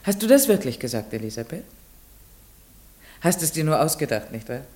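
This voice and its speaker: An actress playing a young village schoolteacher in an old radio play, questioning a pupil calmly and narrating in first person. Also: female voice, low-pitched, a little fast, breathy edge, exaggerated voice. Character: young unmarried female village teacher, strict but kind.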